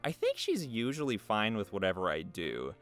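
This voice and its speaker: A man with a fairly low voice and hugely expressive intonation narrates an interview. He is casual and playful, often jokey, with video game music playing behind him. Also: normal speed. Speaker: interviewer reading out questions and answers.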